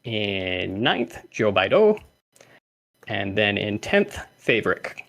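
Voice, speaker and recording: A man's voice: fairly low pitch, sing-song, slow, gravelly, fairly bright tone. A young man hosting a livestreamed community call, reading out names and laughing often. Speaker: host.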